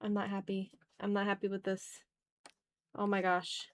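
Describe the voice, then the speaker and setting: A tired woman with a mid-pitched voice hosts a casual drawing stream. She talks in a self-deprecating way, drifting into mutters to herself. The sound is close and dry, with very little room in it. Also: monotone, moderate pace, dry recording. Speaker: art livestreamer and host. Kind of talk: casual livestream chat while drawing.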